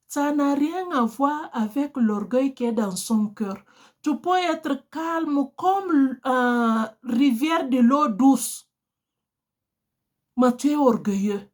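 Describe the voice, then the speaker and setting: A woman whose first language is Portuguese, preaching a sermon in an earnest, exhorting way, addressing her listeners directly. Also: high-pitched.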